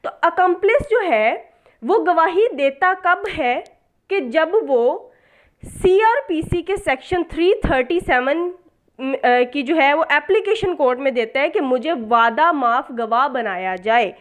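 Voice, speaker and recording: A woman's voice: high-pitched, animated, slow pace. A woman with a Pakistani accent lecturing as a law tutor, explaining step by step with rhetorical questions, repetition for emphasis and English legal terms mixed in. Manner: didactic, repeats key points for emphasis.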